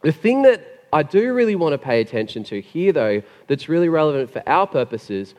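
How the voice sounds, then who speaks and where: A man preaching a sermon with a gravelly, hoarse voice after being sick, chatty and joking. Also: fairly high pitch, sing-song, normal speed, a little mellow. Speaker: pastor. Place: church hall. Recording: long hall reverb.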